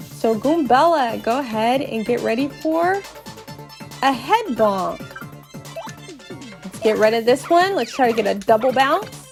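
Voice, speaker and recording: A woman narrating a video game playthrough in a cutesy, expressive storyteller manner, with playful sound words. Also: fairly high pitch. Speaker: podcast host doing a dramatic read-along of a video game. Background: music.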